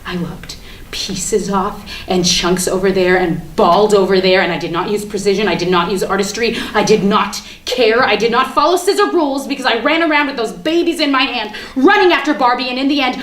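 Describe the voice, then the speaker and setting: A woman's voice: medium pitch, fairly quick, natural voice. A woman acting a bitter, manic, sardonic character in a theatrical dramatic monologue at a staged reading. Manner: theatrical, emphatic, repetitive, sardonic, swinging from hushed to ranting. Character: bitter, manic woman confessing her war on a Barbie doll. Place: indoor room, live staged reading. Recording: echoey room with background hiss.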